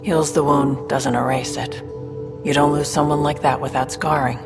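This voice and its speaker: Female alien exile for a game: low, creaky, wry and guarded. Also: little pitch movement, normal speed. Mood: somber concern. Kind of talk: video game character dialogue. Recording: hall reverb, music behind.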